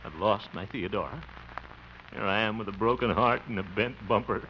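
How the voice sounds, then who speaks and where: An actor in an old radio comedy playing an insecure, exasperated young amateur play director with an American accent. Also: male voice, lowish voice, normal speed, close to an everyday voice. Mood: dejected. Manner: self-pitying asides, exasperated outbursts. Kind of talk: 1940s-50s radio comedy acting. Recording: dull, noisy old-time radio recording.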